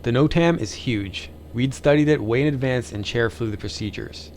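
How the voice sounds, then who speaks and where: A man's voice: mid-range voice, normal speed, darkish tone, slight background noise. A man narrating a flying vlog in an informal voice-over, as the pilot telling his own story.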